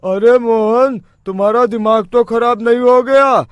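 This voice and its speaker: A man's voice: extremely high pitch, fairly even intonation, unhurried, clean tone, dry recording, highs cut off. A man voicing a reproachful, exclamatory villager in a cartoon story. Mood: scolding alarm. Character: scolding male villager friend in a cartoon.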